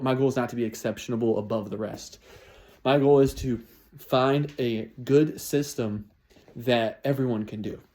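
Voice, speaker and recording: A man's voice: mid-range voice, a little mellow. Twenty-something guy pumping himself up about his goals, keeps repeating himself. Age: 20s. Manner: repetitive, motivational, restarts phrases. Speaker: vlogger. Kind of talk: casual New Year's resolutions monologue.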